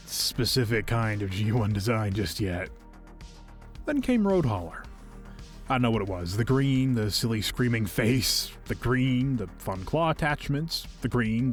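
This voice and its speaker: Animated, playful male reviewer over music. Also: mid-range voice. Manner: playful, whimsical, expressive delivery. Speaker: toy reviewer and video host. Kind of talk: enthusiastic scripted review narration. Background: background music.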